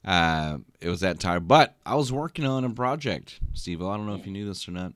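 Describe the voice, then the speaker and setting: A man's voice: low-pitched, lively intonation, brisk, fairly bright tone, clear, resonant tone, no room sound, studio quality. Sleepy, rambling podcast guy. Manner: rambling, many restarts and repeated words. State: sleepy after little sleep. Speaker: podcast co-host. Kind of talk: casual podcast chat. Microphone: podcast mic.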